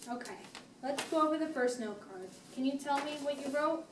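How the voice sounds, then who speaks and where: A woman playing a patient, firm family therapist, speaking in a measured, formal way in an amateur role-play. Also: sits high, expressive. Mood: calm, directing. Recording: noisy echoey room, distant mic.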